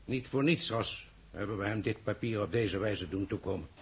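An actor playing a grave, secretive, authoritative government minister in a 1960s Dutch radio play, speaking formally and with measure. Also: male voice, fairly low pitch, moderate pace, slightly rough. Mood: commanding. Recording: old 1960s radio drama recording.

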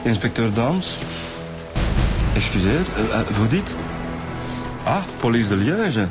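A man's voice: mid-range voice, big pitch swings, takes it slowly. An actor playing a polite, methodical male police inspector with a Flemish accent and a hesitant, businesslike manner, in a radio drama. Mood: polite, surprised. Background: music.

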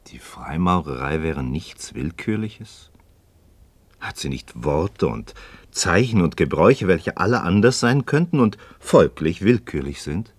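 An actor playing an inquisitive, sceptical friend in a radio dialogue, probing in formal, expressive speech. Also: male voice, sits low, unhurried, slightly rough, no room sound, full character voice. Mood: sceptical, insistent. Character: inquisitive, sceptical male friend pressing for answers. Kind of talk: German radio play dialogue.

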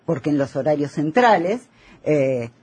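A man's voice: on the high side, expressive, a little slow, a little mellow, husky. A man with an Argentine accent hosting a conversational radio interview. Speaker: radio host.

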